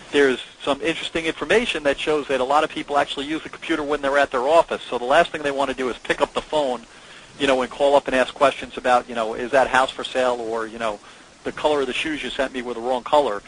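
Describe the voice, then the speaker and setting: A man's voice: mid-range voice, a bit bright, poor audio. A man speaking as co-founder and host on a conference call, giving a conversational, promotional sales presentation. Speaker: company co-founder hosting a presentation. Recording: clear background noise on conference call.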